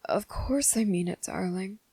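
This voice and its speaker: A woman voicing a young, devoted and possessive male vampire in an ASMR roleplay. She speaks slowly and softly, close to the microphone, and slips into whispers. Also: mid-range voice, breathy edge, dry recording. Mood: tender, grave. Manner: slow, soft-spoken and intimate, slipping into whispers.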